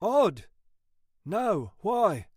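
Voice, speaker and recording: A man's voice: sits high, big pitch swings, extremely slow, darkish tone, slightly rough, no room sound, studio quality, pitched above the performer's own voice, full character voice. A man voicing an easygoing, doubtful male police colleague with an offhand manner.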